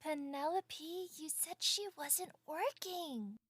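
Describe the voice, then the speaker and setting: A woman's voice: high-pitched, animated, takes it slowly, fairly bright tone, dry recording, heavily acted. A woman playing a gossipy, outspoken young castle maid in an audio drama.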